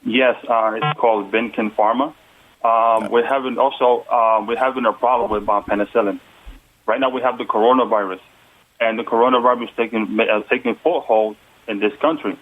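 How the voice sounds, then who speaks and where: Earnest Puerto Rican-accented candidate, halting, heard over a phone line. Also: male voice, medium pitch, steady tone. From United States. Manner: halting, many fillers, earnest. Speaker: political candidate guest. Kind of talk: phone-in interview.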